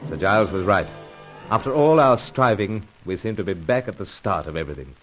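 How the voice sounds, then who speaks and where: An actor playing a suave secret agent hero in an old-time radio adventure serial, earnest and dramatic. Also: male voice, low voice, animated, fairly quick, faint music behind, low-quality recording. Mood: tense narration.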